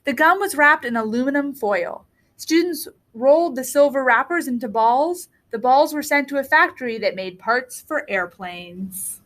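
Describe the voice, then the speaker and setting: Woman reading a kids book out loud, really high voice, expressive n deliberate phrasing. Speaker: reader of a children's book. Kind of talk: read-aloud storybook narration.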